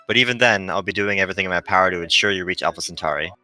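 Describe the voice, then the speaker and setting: A man voicing a gentle, earnest female android ship pilot in formal, precise speech for a visual novel read-aloud. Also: sits low, very fast, soft background music, rough recording.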